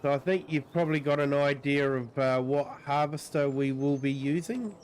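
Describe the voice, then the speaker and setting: A man with a New Zealand accent and a croaky voice from a cold, giving easygoing livestream commentary on a farming game, full of ums and uhs. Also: fairly high pitch, normal speed, a little mellow, a little hiss or hum. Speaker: streamer. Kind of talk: relaxed livestream gameplay commentary.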